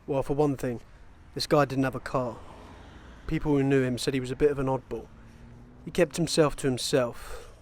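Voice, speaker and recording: An actor playing a sober, methodical British police detective in a naturalistic radio play. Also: male voice, mid-range voice, steady tone, a little mellow, a little hiss or hum, natural voice. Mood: matter-of-fact. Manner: measured, procedural.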